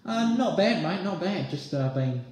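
Young aussie guy chatting on ham radio, mellow voice, really echoey room with a bit of hiss. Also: high-pitched, expressive, moderate pace. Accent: Australian. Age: young adult. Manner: chatty, uses radio jargon. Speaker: amateur radio operator. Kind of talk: casual radio chat. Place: small indoor room. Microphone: phone at arm's length. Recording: roomy echo, slight hiss.

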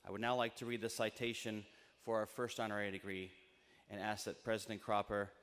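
A middle-aged American man giving a formal ceremonial speech in measured, emphatic phrases at a podium microphone. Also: on the low side, little pitch movement, fairly bright tone. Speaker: university trustee speaking at commencement. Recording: long reverberant PA in large tent.

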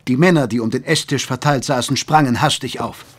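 A man's voice: mid-range voice, fairly quick, slight background noise, close to an everyday voice. A man playing a grave, literary Victorian chronicler, narrating an audio drama in a solemn storytelling manner. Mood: grave narration.

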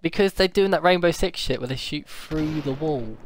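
Young British guy for a let's-play, excitable and giggly, noisy cheap mic. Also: fairly high pitch, normal speed. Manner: laughs often, chatty and excitable. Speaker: gaming channel host. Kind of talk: casual let's-play commentary. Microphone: cheap home microphone. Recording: noisy home recording with game sounds.

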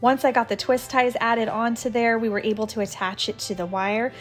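A woman's voice: a little high, fairly even intonation, fairly quick, loud music behind the voice. A woman in her thirties narrating a home renovation vlog in a chatty, enthusiastic way.

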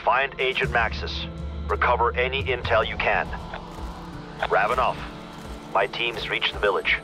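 An actor playing a stern, decisive strike team commander in a video game, giving clipped orders. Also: male voice, medium pitch, fairly even intonation, unhurried, music in the background, natural voice. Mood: commanding. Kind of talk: video game cutscene acting.

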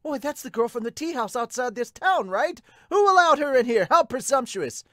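A woman's voice: high voice, very animated, normal speed, fairly bright tone, no echo, clean studio sound. A woman voicing snobbish, gossipy townsfolk in a manga dub. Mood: disdainful.

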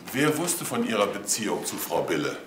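A man's voice: fairly low pitch, very animated, a little fast, background noise. An actor playing a male police detective in a crime drama, questioning in a dry, probing manner.

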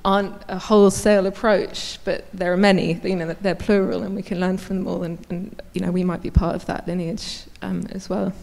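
A woman's voice: on the low side, level tone, a little fast, gravelly, few pauses, a little mellow. A woman in her thirties, an architect on a conference panel, speaking conversationally into a handheld mic. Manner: conversational, many fillers and restarts. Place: large conference hall on stage. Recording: long reverb of a large hall.

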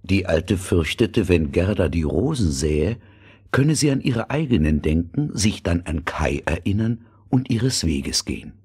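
Deep, very husky male storyteller. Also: sing-song, unhurried, darkish tone. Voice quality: husky, breathy. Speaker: narrator. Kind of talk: scripted fairy-tale narration.

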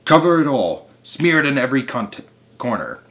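A man voicing a bossy, excitable monkey father who shouts urgent commands in a children's storybook. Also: mid-range voice, normal speed. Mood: frantic, bossy. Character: bossy, frenzied monkey father leading a revenge trick.

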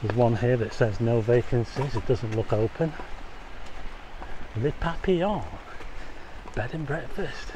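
A man's voice: medium pitch, unhurried, pauses often, background noise. A man casually narrating a walk outdoors on a rainy street, chatty, asking viewers questions and making wry asides. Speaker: walking tour vlogger. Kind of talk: casual walking commentary.

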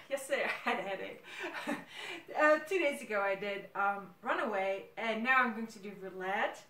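A woman's voice: on the high side, fairly bright tone. A middle-aged native Dutch speaker, a woman hosting a casual video series, thinking aloud hesitantly in a room at home. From Netherlands. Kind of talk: casual monologue to camera. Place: small room at home, indoors. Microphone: camera several feet away. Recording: roomy, distant camera microphone.

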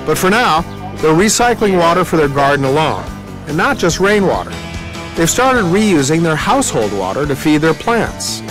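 A man hosting a home renovation show, asking quick joking questions outdoors and narrating voice-over. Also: fairly high pitch, sing-song, music in the background. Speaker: TV host. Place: outdoor backyard.

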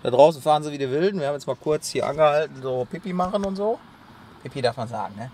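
A man's voice: a little high, animated, fast, a little mellow. Man, 30s, casual road-trip vlog, joking, handheld camera, noisy car interior. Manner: chatty, joking, narrating to camera. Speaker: vlogger. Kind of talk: casual travel vlog chat. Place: inside a car and outdoors on a parking lot. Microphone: handheld action camera. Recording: noisy car and outdoor recording. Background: car and road noise.

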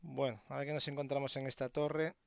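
A man's voice: medium pitch, flat delivery, a little fast, vocal fry, heavy background noise. A man from casually commenting a horror game playthrough, joking and reading screens aloud. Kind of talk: casual let's play commentary.

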